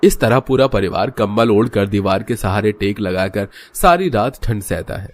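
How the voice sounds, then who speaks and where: A man's voice: on the low side, lively intonation, moderate pace. A man narrating a scripted cartoon story as its storyteller.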